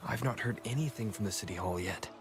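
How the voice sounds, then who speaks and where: An actor playing a calm, serious young traveler hero in a video game, speaking in a measured, reflective manner. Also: male voice, fairly low pitch, natural voice. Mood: pensive. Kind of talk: video game character dialogue. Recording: game music behind the voice. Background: game background music.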